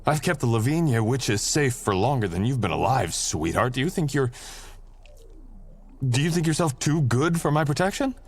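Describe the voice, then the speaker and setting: A man's voice: mid-range voice, lively intonation, moderate pace. A man playing a powerful, dangerous shadow being, a smug and possessive boyfriend, in an intimate ASMR audio roleplay with dramatic, teasing delivery. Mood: indignant, urgent.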